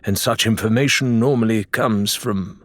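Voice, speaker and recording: A man voicing a learned, cautious male pirate who explains things formally and gravely in an audiobook. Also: lowish voice, moderate pace, no room sound, close to an everyday voice. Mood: explaining, knowing.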